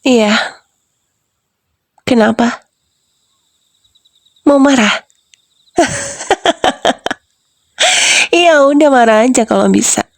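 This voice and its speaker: A woman's voice: a little high, slow, long pauses, dry recording, clean studio sound. A woman voicing a sinister young wife who whispers and giggles as she taunts the husband she has drugged. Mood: mocking, teasing. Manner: soft, teasing, breathy whispers with giggles. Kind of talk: whispered roleplay audio drama.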